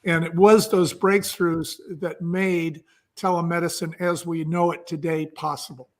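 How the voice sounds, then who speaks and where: A man's voice: fairly high pitch, little pitch movement, unhurried. A man speaking as an interview guest, explaining and storytelling in a conversational, enthusiastic way. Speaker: guest, entrepreneur and author.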